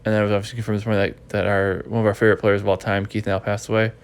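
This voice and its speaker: Man host, stuffy and congested, rambling casual chat, low smooth voice. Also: flat delivery, rapid-fire. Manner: rambling, repeats words while thinking. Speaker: podcast host. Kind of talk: casual podcast recap chat.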